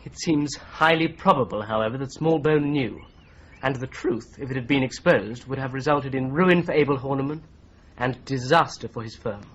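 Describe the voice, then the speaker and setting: An actor playing a polite, methodical young law clerk who presses his points in a calm, persistent way, in a radio drama. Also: mid-range voice, normal speed, a little hiss or hum, lightly acted. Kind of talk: 1970s radio drama acting. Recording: slightly dull broadcast recording.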